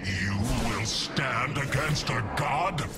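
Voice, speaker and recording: An actor playing a fanatical, bloodthirsty alien warrior of a dark god in a video game, ominous and grandiose. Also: male voice, medium pitch, expressive, slow pace. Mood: bloodthirsty menace. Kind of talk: dramatic video game acting. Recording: loud game music and battle sound behind.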